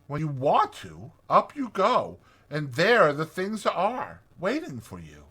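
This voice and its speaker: A man's voice: a little high, huge pitch swings, slow, bright, forceful tone, breathy edge, voice pushed up, heavily acted. A man voicing a polite, homely little mole in a dramatized storybook reading, earnest and appreciative. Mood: contented.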